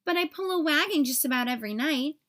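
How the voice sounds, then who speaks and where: An innocent young girl reindeer from a storybook, played by a woman, speaking high and childlike. She sounds eager and full of wonder. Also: lively intonation, fast talker, a bit bright. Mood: shy eagerness.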